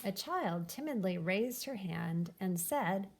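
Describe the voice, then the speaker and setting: Female storybook narrator, mid-pitched with noticeable vocal fry, for a children's folktale. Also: animated, slow pace. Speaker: storybook reader.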